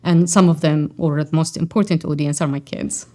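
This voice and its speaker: Woman, 40s, Arabic-accented English, lowish voice; reflective, hesitant interview delivery. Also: steady tone, moderate pace, mellow. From Yemen. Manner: thoughtful, with frequent fillers and restarts. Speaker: poet and scholar.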